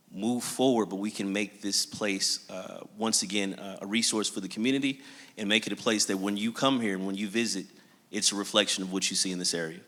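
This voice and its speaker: Man, low voice, reflective, hesitant restarts, panel talk, echoey hall. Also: a bit bright. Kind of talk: panel discussion.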